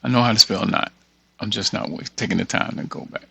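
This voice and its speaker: Man, gravelly creaky voice, informal tutorial walkthrough, muttered reading aloud, thinking out loud. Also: fairly low pitch, fast, no room sound. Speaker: tutorial creator.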